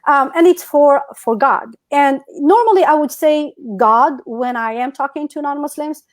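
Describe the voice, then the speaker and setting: A woman's voice: high-pitched, normal speed. A woman from North Africa whose first language is Berber, chatting as a guest on a video call. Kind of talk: casual interview over a video call.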